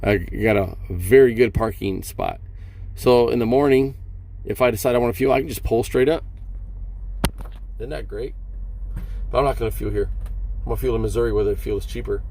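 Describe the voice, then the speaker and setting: Man vlogging over clear vehicle noise. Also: medium pitch, expressive, dark tone. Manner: halting, rhetorical questions and check-ins. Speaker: long-haul truck driver and vlogger. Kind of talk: casual explanatory vlog talk to viewers. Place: inside a parked truck cab.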